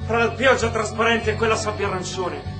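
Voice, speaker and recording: A man acting an anguished, earnest detained taxi driver in a radio drama, speaking in halting, emotive phrases. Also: sits high, over music, natural voice. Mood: tender, wistful. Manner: halting, emotive, repeating words. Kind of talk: stage-style radio drama acting, monologue.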